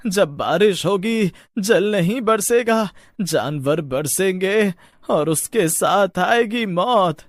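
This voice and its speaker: A man's voice: high-pitched, expressive, slow pace, dry recording, studio quality, voice pushed up. A man voicing a grave village priest in a horror story, warning of curses and omens in a portentous, insistent way. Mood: foreboding.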